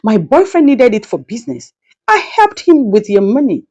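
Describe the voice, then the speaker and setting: A woman's voice: a little high, big pitch swings, normal speed, warm tone, highs cut off, pitched above the performer's own voice. A woman voicing a shameless, boastful young mistress in a folktale, brazen and coolly dismissive. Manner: brazen, unapologetic.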